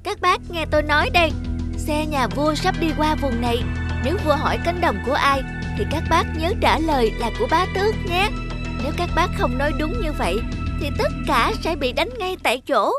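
High-voiced clever talking cat. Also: female voice, expressive, brisk, over loud music. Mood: urgent, commanding. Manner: courtly, eloquent, persuasive. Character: clever, polite, cunning talking male cat in boots. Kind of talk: dubbed cartoon fairy-tale acting.

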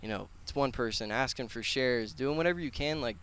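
Man in his twenties, smooth clear voice, mid pitch, earnest, rambling, slangy podcast guest, headset outdoors. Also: brisk, fairly bright tone. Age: 20s. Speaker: podcast guest, personal trainer and gym owner. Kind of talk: casual interview answers. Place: outdoors on a concrete patio.

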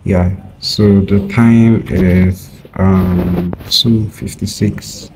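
Soft-spoken deep-voiced young man. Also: fairly even intonation, extremely slow, background noise. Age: late teens. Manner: soft-spoken, low-key and rambling. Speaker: vlogger. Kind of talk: casual vlog diary. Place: outdoors by a brick wall. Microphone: phone held at arm's length.

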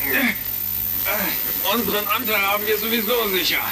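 High-pitched shifty pirate henchman. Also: lively intonation, measured pace, gravelly, over music, heavily acted. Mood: offhand. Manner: hesitant, offhand. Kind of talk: retro sci-fi radio play acting. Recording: distant, noisy crackling sound.

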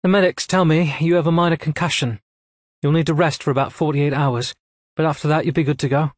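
A man's voice: on the high side, steady tone, brisk, no room sound, studio quality, pitched above the performer's own voice, close to an everyday voice. A man voicing a composed, commanding female admiral in an audiobook, speaking in a clipped, authoritative way.

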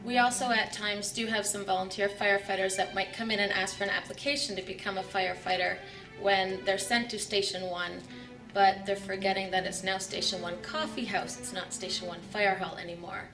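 Woman, friendly, welcoming, mid pitch, roomy echo, slightly off-mic. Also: fairly even intonation, a little fast, far from the mic, music in the background. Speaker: coffee house owner. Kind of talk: documentary interview.

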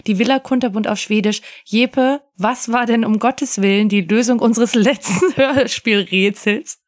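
A woman's voice: on the high side, measured pace. A woman co-hosting a podcast in casual conversation. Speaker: podcast co-host. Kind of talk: casual chat.

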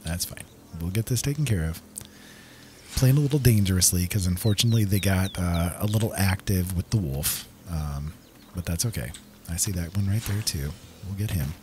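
Very deep-voiced man commentating on gameplay. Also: moderate pace, background noise. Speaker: gamer commentating on own gameplay.

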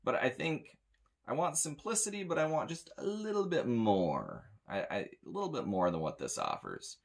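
A man's voice: mid-range voice, huge pitch swings, normal speed, vocal fry. An American man in his thirties giving a casual, rambling gear review as a sound tech, blunt and grumbling. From United States. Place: small indoor room with metal shelving.

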